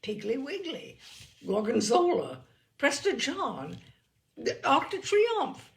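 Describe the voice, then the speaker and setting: A woman narrates a humorous short story with theatrical emphasis. Her pitch moves in a lively way across each phrase, and her voice has a creaky, gravelly edge. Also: takes it slowly.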